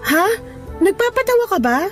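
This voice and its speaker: A woman's voice: extremely high pitch, sing-song, brisk, soft background music. A woman playing a frank, playfully evasive young waitress with a Filipino accent in a radio drama. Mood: surprised, teasing.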